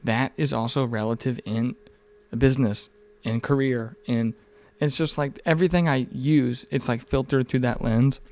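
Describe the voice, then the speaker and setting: A man's voice: mid-range voice, fairly even intonation, normal speed, few pauses, a little mellow. A man in his mid twenties chatting candidly on a podcast in an informal, rambling, earnest way, with some swearing. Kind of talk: casual, candid podcast conversation.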